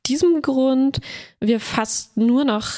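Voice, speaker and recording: A woman's voice: high voice, slow pace, warm tone. A woman talking in a casual conversation, explaining her thoughts with many fillers and agreeing hums.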